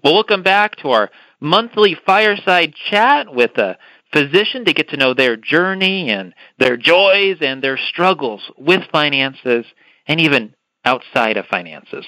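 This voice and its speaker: A man's voice: a little high, animated, unhurried, sharp tone, dry recording, poor audio. A man hosting a podcast interview, enthusiastic and given to repeating words as he asks his questions. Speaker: podcast host.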